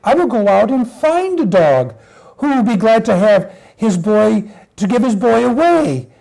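Storybook puppy played by a woman: small, high-pitched, earnest and plaintive, halting childlike delivery. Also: sing-song, vocal fry, dark tone, exaggerated voice, poor audio. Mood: eager, polite. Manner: halting, stammering, childlike pleading. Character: eager, plaintive little puppy boy longing for a friend.

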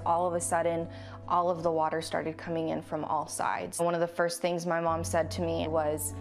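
Female interviewee in her twenties, deep and gravelly, telling her own story over soft music. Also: monotone, moderate pace. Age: 20s. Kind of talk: personal interview recollection.

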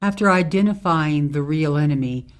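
A woman playing a serious, searching activist in a radio drama, speaking earnestly and deliberately. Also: sits low, natural voice.

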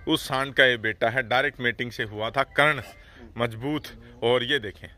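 A man hosting a farm video, explaining the animals outdoors in a steady, explanatory way. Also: medium pitch, normal speed, soft background music. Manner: steady explanatory, frequent "haan haan" backchannels. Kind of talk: on-site explanatory commentary.

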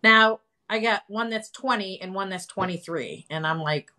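A woman in her fifties hosting a casual live video chat from home, chatty and animated, talking into a phone camera. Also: mid-range voice, normal speed, background noise. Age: 50s. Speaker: host. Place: home room with colorful wall. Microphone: phone camera, video call.

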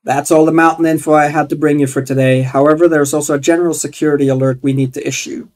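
Young man, upbeat mock DJ read on a headset, slightly high voice, faint music behind. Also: fairly even intonation, quick pace, a little mellow. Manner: upbeat announcer delivery, playful. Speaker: streamer. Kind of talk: mock radio DJ announcing. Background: faint game music.

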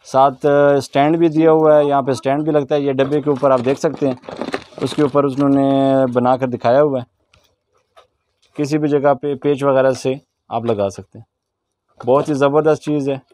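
A man's voice: mid-range voice, little pitch movement, moderate pace, darkish tone. A man with a Pakistani accent casually presenting products in a shop as a vlogger, asking questions, repeating answers and explaining features approvingly. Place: small shop packed with goods, indoors.

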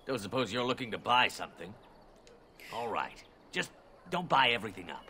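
A wary male village trader in a fantasy game, with a forceful, bright voice and lively swings in pitch. Faint noise and ambience lie behind the lines. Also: normal speed. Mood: gruffly wary. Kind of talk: video game dialogue acting.

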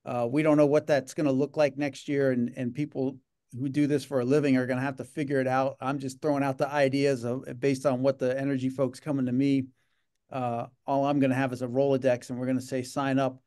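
A man's voice: mid-range voice, level tone, fairly quick, dark tone. A middle-aged man speaking in a casual video-call interview from a home office, rambling with many fillers and repeated words. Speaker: gaming association leader. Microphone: video call webcam.

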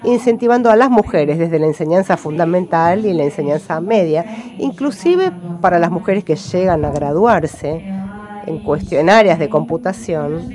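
A woman giving simultaneous interpretation at a conference, rendering the speech phrase by phrase with some halting. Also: on the low side.

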